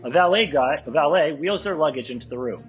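A man's voice: a little high, lively intonation, quick pace, highs cut off. A man reading screen directions aloud at a live table read.

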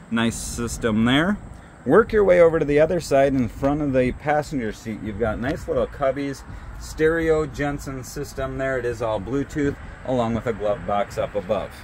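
Guy showing off a boat outside, upbeat, ticks off features one by one, medium voice, bit of street noise. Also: medium pitch. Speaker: boat salesman giving a walkthrough. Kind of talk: product walkthrough. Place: outdoors at a boat dealership lot.

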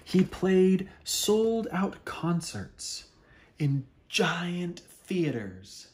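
Male storyteller, 30s, expressive children's read-aloud, unhurried and very animated in intonation. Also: sits high. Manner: dramatic, deliberate pauses and interjected wonder. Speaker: storyteller reading a picture book. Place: small living room at home, indoors.

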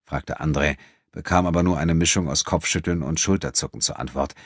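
Ein Mann, der als Hörbucherzähler einen literarischen Text dramatisch vorliest. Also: male voice, a bass voice, brisk, breathy edge, no echo, clean studio sound. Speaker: audiobook narrator. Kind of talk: dramatic scripted literary narration.

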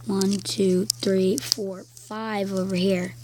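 A woman with a croaky voice giving casual gameplay commentary, slowly counting blocks aloud as she builds. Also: medium pitch, flat delivery, background noise. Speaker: game video creator.

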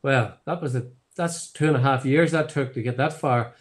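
A man's voice: mid-range voice, normal speed. Chatty older Northern Irish man. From Northern Ireland. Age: 70s. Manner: rambling storyteller with many fillers and restarts. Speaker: whiskey distillery founder. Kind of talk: online tasting conversation. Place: home office. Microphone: laptop webcam on video call.

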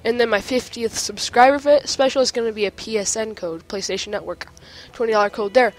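A male gaming host with a stuffy nose from a cold, chatting excitedly while clicking through game menus at home. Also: high-pitched, a little fast. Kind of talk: casual game menu walkthrough.

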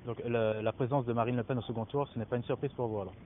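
Quick young man asking street questions. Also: medium pitch, steady tone. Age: young adult. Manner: polite, formal questioning. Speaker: street interviewer. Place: outdoors on a city street. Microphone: holding a handheld mic. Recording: phone-like sound, street noise.

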